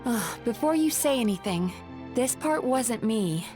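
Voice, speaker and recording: A woman voicing a kind young female companion in an anime game, earnest and expressive. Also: a little high, unhurried. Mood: sheepish, doubtful. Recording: music under the voice.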